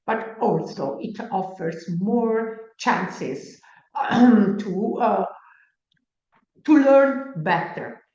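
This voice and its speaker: A woman, a medical school faculty member, answers a question at an open day, speaking slowly with frequent pauses and restarts. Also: mid-range voice. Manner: slow and measured, with frequent hesitations and restarts. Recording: roomy, muffled livestream audio.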